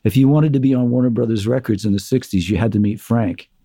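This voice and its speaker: A man, a musician being interviewed, telling animated stories and acting out what others said, with many fillers. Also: mid-range voice, fast, dry recording, studio quality. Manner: animated storyteller, acts out quotes, many fillers. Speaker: guest, musician. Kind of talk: conversational interview storytelling.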